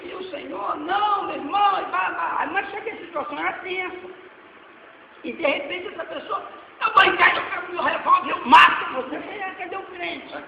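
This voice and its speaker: Forties male preacher, Brazilian accent, fervent then hushed, recorded roomy and far with faint hiss. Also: extremely high pitch, fairly quick, creaky, crowd noise. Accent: Brazilian Portuguese. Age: 40s. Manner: swings between loud exhortation and quiet asides. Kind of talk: sermon with slides. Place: church hall. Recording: echoey hall, dull lo-fi sound, faint noise.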